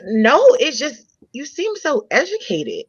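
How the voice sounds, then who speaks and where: A woman reading the part of a warm, admiring young woman on a romantic date in a comedy table read. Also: high voice, wildly swooping pitch, slow pace, husky, dry recording, full character voice. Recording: dull, slightly noisy home recording.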